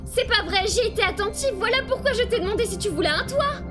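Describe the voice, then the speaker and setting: A woman's voice: very high voice, animated, quick pace. A woman playing a proud, easily flustered young noblewoman in an anime fan dub, exclamatory and theatrical. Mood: indignant. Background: background music.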